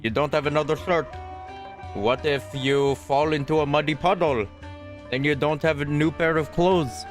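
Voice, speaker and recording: A man's voice: fairly high pitch, fairly even intonation, moderate pace. A man streaming a game, chatting casually and thinking aloud in a rambling way. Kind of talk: casual gameplay chat. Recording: game music behind the voice. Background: video game music and dialogue.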